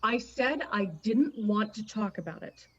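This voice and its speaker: A woman's voice: medium pitch, animated, a little slow, slightly rough, muffled recording. A woman playing a stubborn, superstitious, scornful wife and mother in a radio play, emphatic and accusing. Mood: bitter. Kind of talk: dramatic radio play acting.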